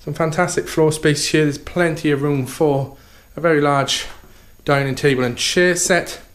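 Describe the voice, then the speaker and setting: Upbeat estate agent man, slightly high voice. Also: fairly even intonation, moderate pace. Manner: upbeat sales patter, keeps saying "okay" and "fantastic". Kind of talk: property tour walkthrough.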